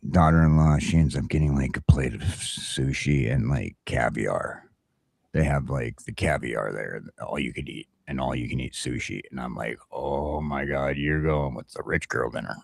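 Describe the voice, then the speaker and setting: A middle-aged American man with an extremely low voice full of gravelly fry. He chats casually like a morning show host, blunt and folksy, swearing freely. The sound is dry and close. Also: no echo. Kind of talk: casual chat and storytelling.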